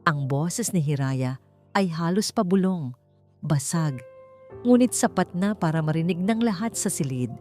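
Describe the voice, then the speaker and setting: A woman's voice: on the low side, expressive, a little slow, a little mellow, faint music behind. A woman with a Filipino accent narrating a scripted story in an emotive, theatrical way, as an audiobook narrator.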